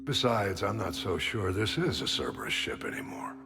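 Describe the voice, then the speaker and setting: Older navy admiral in a space game, really low voice, expressive, grave, music behind. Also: male voice, vocal fry. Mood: supportive, resolute. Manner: measured, grave, authoritative. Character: gruff older male military admiral, stern but loyal. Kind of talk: cinematic science fiction game dialogue. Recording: music under the dialogue.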